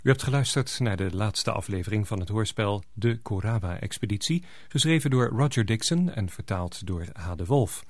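A man's voice: lowish voice, lively intonation, normal speed, hardly any pauses, darkish tone. A man working as a radio announcer, reading scripted broadcast credits.

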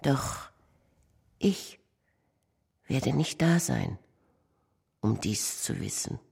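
A woman performing poetic radio art, reciting in deliberate, fragmented phrases. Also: extremely low pitch, very animated, vocal fry, slightly rough. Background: loud music.

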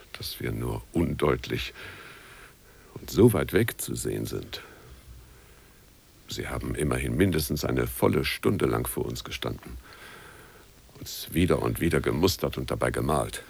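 An actor playing a brusque, impatient wealthy client in a radio play, with a gravelly, husky voice. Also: male voice, a bass voice, expressive, measured pace, exaggerated voice. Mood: mildly puzzled. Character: curt wealthy male client of a painter, impatient. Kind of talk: German radio play acting.

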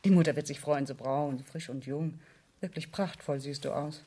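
A woman's voice: sits low, moderate pace, warm tone, breathy edge. An actress playing a wealthy middle-aged aunt in a German radio play, fussy and insistent, anxious about her standing and evasive. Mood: brisk, placating.

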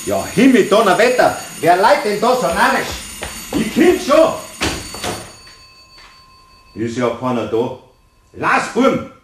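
A man's voice: high-pitched, huge pitch swings, slow pace, long pauses, heavily acted. An actor playing a flustered, grumpy man with a Bavarian accent in a radio play, blustering and scolding. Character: flustered, grumpy man hunting for lost keys. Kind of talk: German radio play acting. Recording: roomy sound, noisy old recording.

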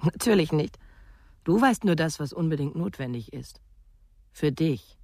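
Terse guarded woman, very low, husky, close and dry, radio drama. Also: animated, measured pace, a little mellow, no echo, exaggerated voice. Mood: defensive. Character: guarded, curt aunt of a runaway nephew. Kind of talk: restrained radio play dialogue.

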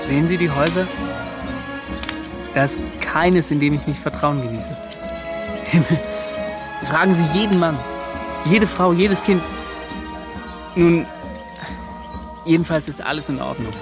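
An actor playing an earnest, excitable young adventurer in a German radio play, speaking with impassioned emphasis. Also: male voice, a little high, unhurried, pauses often. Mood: fervent, moved. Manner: impassioned, emphatic, breaks into short repeated questions. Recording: music under the voice.

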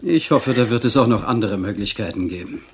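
A man's voice: on the low side, expressive, brisk, slight background noise. Vain, suave, genteel con man. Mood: pampered complaining. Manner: affected, genteel, self-pitying. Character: vain, suave male con man in prison, pampered gourmet. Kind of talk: radio play acting.

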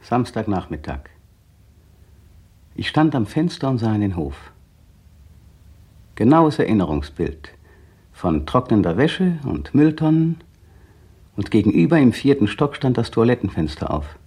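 A man's voice: mid-range voice, sing-song, unhurried, pauses often, warm tone, breathy edge. An actor playing a brooding man in a radio drama, narrating his memories in an inner monologue that sometimes rises to fevered intensity. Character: brooding man recalling a still afternoon.

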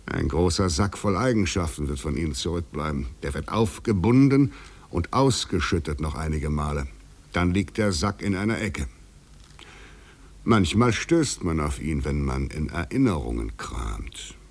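A man's voice: low-pitched, exaggerated voice. An actor in a 1950s literary radio drama playing a taunting, eloquent male fugitive in a poetic, ironic manner. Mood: mocking.

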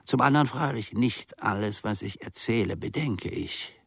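An old, self-important servant in a radio drama, low and gravelly. He grumbles and muses to himself in theatrical, old-fashioned phrases, recorded close and dry. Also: animated, moderate pace, a bit bright, no echo, heavily acted. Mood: grumbling, scornful. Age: older. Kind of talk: radio play monologue.

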